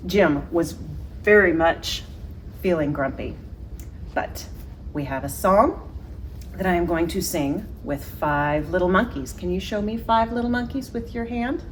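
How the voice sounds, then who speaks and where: Animated woman reading slowly to children. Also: on the low side, a little hiss or hum, low-quality recording. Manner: expressive, simple phrasing for young children. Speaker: library story time reader. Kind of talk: children's picture book read-aloud.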